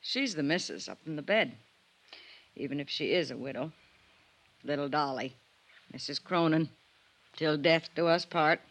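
An actress playing a resentful, folksy spinster housekeeper with clipped, sardonic talk in an old-time radio drama. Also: female voice, low voice, expressive, normal speed, pauses often. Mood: bitter, resentful.

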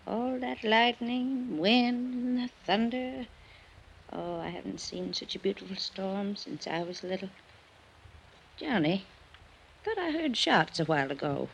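An actress playing a fragile, wistful widow, dreamy and hesitant, in an old radio drama. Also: female voice, mid-range voice, animated, a little slow, vocal fry, muffled recording. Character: wistful, fragile widow lost in memories, female. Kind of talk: 1950s radio drama acting. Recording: noisy old radio serial recording.

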